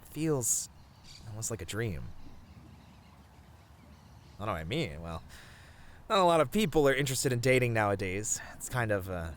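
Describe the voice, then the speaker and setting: A man playing a sweet, bashful boyfriend in a romantic ASMR roleplay, talking tenderly in a flustered, halting way. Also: lowish voice, animated, a little hiss or hum, natural voice. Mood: sincere, tender. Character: sweet, bashful, rambling boyfriend on a picnic date.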